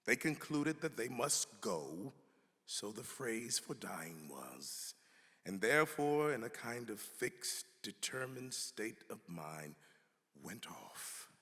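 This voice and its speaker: A man giving a dramatic reading of an old historical text, with emphatic, halting, weighty delivery. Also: fairly low pitch, big pitch swings, fairly bright tone. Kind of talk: dramatic reading of an 18th-century pamphlet. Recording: reverberant hall.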